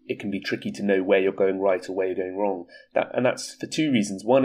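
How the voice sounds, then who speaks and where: A man's voice: on the low side, darkish tone. A man hosting a casual podcast chat, sounding a little congested from a cold and explaining things at length. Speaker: podcast host.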